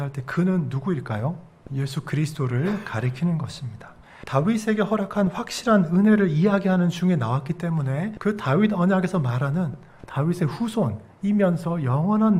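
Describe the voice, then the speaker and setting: Middle-aged dialect-speaking male pastor, slightly high husky voice, teaching-style sermon with questions to the congregation. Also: a little mellow. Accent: Korean regional dialect. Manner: explains verses step by step, asks the congregation questions. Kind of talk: expository sermon.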